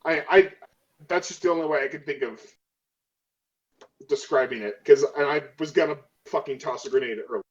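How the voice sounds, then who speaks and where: A man's voice: on the high side, brisk, long pauses, muffled recording. A man playing a tabletop role-playing game, casually improvising what his character does in a rambling, profane way.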